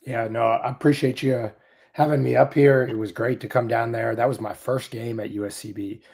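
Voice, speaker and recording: A man's voice: medium pitch, steady tone, moderate pace, gravelly, a little mellow, slightly rough. A man, a college basketball coach, talking as a guest in a casual interview, friendly and upbeat.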